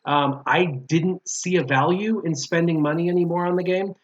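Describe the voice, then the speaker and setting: A man's voice: fairly high pitch, normal speed. A man talking casually and with strong opinions as a guest in a gaming discussion, repeating his points for emphasis. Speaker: guest, former mobile game player. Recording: dull lo-fi audio.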